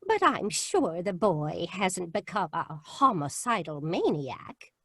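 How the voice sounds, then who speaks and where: An actress playing a kindly, hospitable farm wife with a husky voice in an old-time radio drama. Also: female voice, on the low side, very animated, takes it slowly, bright, forceful tone, dry recording, clean studio sound, heavily acted. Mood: kindly, concerned.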